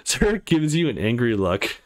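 Animated man narrating a visual novel. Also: medium pitch, moderate pace, poor audio. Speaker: let's play host. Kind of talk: reading a visual novel aloud.

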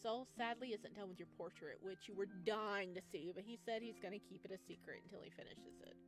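A woman streaming a visual novel, reading the game text aloud and breaking in with expressive, exclaiming reactions. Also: medium pitch, fairly quick, soft background music. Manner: expressive, exclaiming in bursts between read passages.

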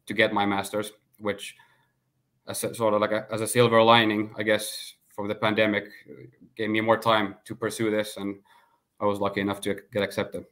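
A man in his thirties from Finland, a guest on a video call interview, speaking in a measured way with filler pauses. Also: on the low side, completely flat delivery, fairly bright tone. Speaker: guest, sports consultant. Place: home room, indoors. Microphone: laptop webcam on video call.

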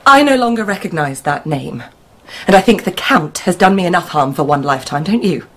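Proud, wounded baroness. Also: female voice, lowish voice, animated, a little fast, a bit bright, slight background noise. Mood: haughty. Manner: haughty, clipped, formal. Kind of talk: radio drama acting.

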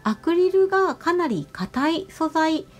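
A woman nail artist narrating a tutorial voice-over, explaining each step politely and giving gentle advice. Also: extremely high pitch, lively intonation, unhurried. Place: voice-over over close-up salon footage. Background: music.

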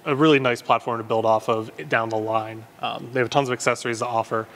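Young male shop presenter, easygoing, mid-pitched, lapel mic in a garage workshop. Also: fast talker, a little hiss or hum. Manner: relaxed, frequent ums and uhs. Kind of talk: casual product walkthrough. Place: large indoor garage workshop.

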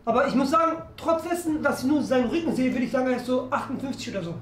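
A woman's voice: sits high, expressive, racing, noisy background. A woman playing police officer, giving mock-serious improvised commentary in a small office. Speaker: player acting as a police officer. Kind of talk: playful improvised live commentary. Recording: roomy, distant mic, music underneath.

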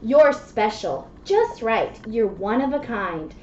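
Woman, 30s, children's read-aloud presenter; unhurried, higher voice, simple explanations, faintly noisy recording. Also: big pitch swings. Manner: simple child-directed explaining with frequent check-in questions. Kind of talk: reading a picture book aloud and chatting to a small child. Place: small classroom, indoors. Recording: muffled, echoey webcam audio with noise.

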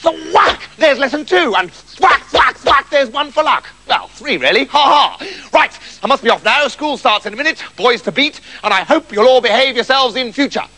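A man's voice: extremely high pitch, lively intonation, moderate pace, few pauses, sharp tone, pitched above the performer's own voice, heavily acted. A man voicing a pompous, cane-happy male schoolteacher in a children's story, exclaiming with sing-song relish. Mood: gleeful.

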